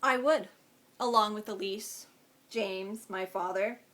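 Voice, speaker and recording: A woman voicing a gentle, grave young fae man in a storybook reading, earnest and reassuring. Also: mid-range voice, takes it slowly.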